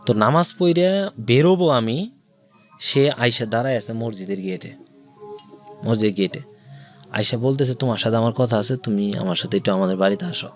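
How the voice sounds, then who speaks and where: A man with a Bangladeshi accent recounts his own life in an interview, music playing behind him. His pitch is mid-range, and he goes through things in detail, voicing the words others said. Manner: narrates in detail, acting out quoted dialogue. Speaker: guest telling own life story. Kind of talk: personal storytelling in an interview.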